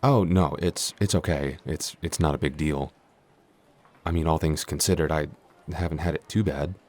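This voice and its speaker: A man's voice: extremely low pitch, normal speed, a little mellow. A man playing a shy, clumsy giant in a roleplay audio, stammering, hesitant and constantly apologetic. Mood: bashful, reflective. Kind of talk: intimate roleplay audio acting.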